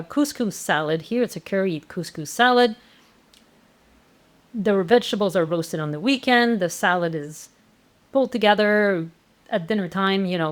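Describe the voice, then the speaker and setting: Woman explaining meal planning conversationally. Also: medium pitch. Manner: conversational, thinking aloud with fillers and restarts. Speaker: plant-based meal planning instructor. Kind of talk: casual explanatory walkthrough.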